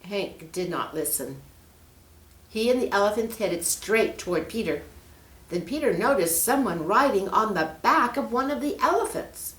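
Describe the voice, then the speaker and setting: A woman reading a children's story aloud in an expressive, animated storytelling style, addressing young listeners directly. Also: mid-range voice, moderate pace. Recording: roomy, echoing room.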